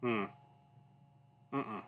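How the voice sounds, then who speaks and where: A man's voice: extremely slow, narrow, tinny audio. A man in his thirties hosting a whiskey review, chatting informally with viewers, rambling and often interrupting himself as he goes. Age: 30s. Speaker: whiskey review show host. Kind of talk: casual chat and anecdotes to a livestream audience.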